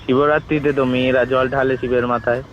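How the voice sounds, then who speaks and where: A man's voice: medium pitch, little pitch movement, pitched below the performer's own voice, close to an everyday voice. A man voicing the god Shiva as a bossy, teasing, mock-grand prank caller in an improvised comic phone call. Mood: solemn, bossy. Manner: mock-grand, teasing, scolding, drawn-out words. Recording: muffled, noisy phone call recording.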